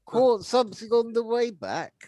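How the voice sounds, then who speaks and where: A man's voice: extremely high pitch, lively intonation, normal speed, mellow, no room sound, pitched above the performer's own voice. A man reading the part of a smug, callous military commander in a storybook, taunting and sneering as he talks.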